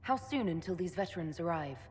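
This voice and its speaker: An actress playing a resolute, diplomatic leader in a fantasy game cutscene, composed, earnest and decisive. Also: female voice, on the low side, animated, a little fast, heavy background noise, close to an everyday voice. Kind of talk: video game cutscene acting. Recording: game music behind the voice.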